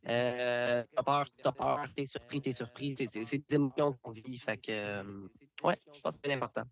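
A man's voice: mid-range voice, normal speed. Man, chatty circus artist. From Canada. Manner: relaxed, repeats words, many fillers. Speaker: circus performer. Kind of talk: radio interview, casual.

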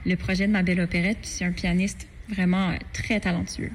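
Enthusiastic Québécois singer, mid-pitched woman. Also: little pitch movement, a little fast, slight background noise. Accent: Québécois French. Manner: friendly, enthusiastic, fluent. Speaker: opera singer. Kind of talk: casual interview.

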